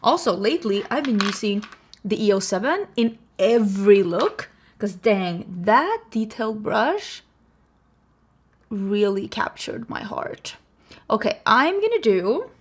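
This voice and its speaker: A woman from Sweden with a Swedish accent, chatting casually through a makeup tutorial in a self-deprecating, laughing way. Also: medium pitch. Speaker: beauty vlogger.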